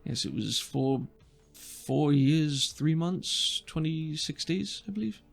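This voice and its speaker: A game master voicing a polite, precise male bureaucrat in a tabletop game, speaking in formal, hesitant, measured phrases. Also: medium pitch, expressive, vocal fry, soft background music, exaggerated voice. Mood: courteous, precise.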